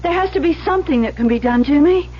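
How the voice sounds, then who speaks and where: An actress playing a shy, lonely, devoted assistant in love in an old radio drama, with a hesitant, earnest delivery. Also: female voice, high voice, lively intonation, fast talker. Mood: anxious, pleading. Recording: dull, hissy vintage radio recording with hum.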